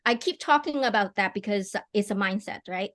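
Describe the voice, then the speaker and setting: A woman's voice: mid-range voice, a little fast, fairly bright tone. A middle-aged woman hosting a live online interview over a video call, chatty and enthusiastic, with many fillers and restarts. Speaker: host.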